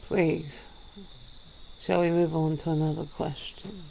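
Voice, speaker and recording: A woman voicing a centuries-old female vampire, calm and regretful, speaking slowly and formally in an ASMR roleplay. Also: sits low, gravelly, a little hiss or hum, exaggerated voice, poor audio. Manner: slow, measured, formal speech.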